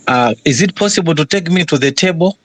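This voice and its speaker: A man's voice: on the high side, lively intonation, fairly quick, no room sound. A man asking a question in an online class, polite and tentative as he works through it. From Malawi.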